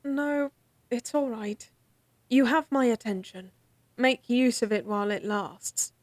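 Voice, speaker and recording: A woman's voice: fairly high pitch, measured pace, dry recording, close to an everyday voice. An actress playing a proud young lady-in-waiting and court musician in a fantasy audio drama, formal and a bit exasperated. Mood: reserved. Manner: crisp, formal, slightly exasperated.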